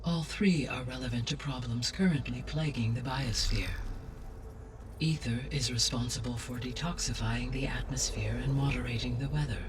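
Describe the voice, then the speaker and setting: An actor playing a calm, grave artificial intelligence in a video game, speaking formally with precise, deliberate diction. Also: male voice, medium pitch, far from the mic, close to an everyday voice. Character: calm male artificial intelligence, grave, all-knowing custodian. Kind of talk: cinematic video game acting, exposition.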